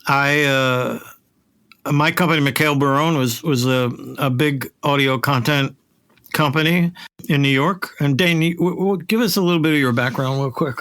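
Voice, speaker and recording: A middle-aged man hosting a podcast conversationally, halting and emphatic, often repeating phrases to drive them home. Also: fairly high pitch, a bit bright, no echo, clean studio sound. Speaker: podcast host.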